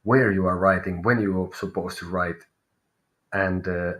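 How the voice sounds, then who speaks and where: A man with a Finnish accent and a fairly low voice reads tarot cards live. He thinks aloud as he goes, pausing and hunting for the right word before settling on his reading. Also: steady tone. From Finland. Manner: thinking aloud, searching for words. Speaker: tarot reader.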